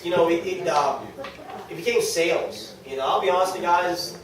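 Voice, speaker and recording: A man with a New England accent and a slightly high voice talks casually to a team meeting. He is self-deprecating and keeps checking in with his listeners. The room is very echoey and he sounds set back from the microphone. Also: expressive, brisk, crowd noise. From New England, United States. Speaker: speaker at a direct-sales team meeting. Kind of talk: informal motivational talk to a small group. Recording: very echoey room, distant mic, faint noise.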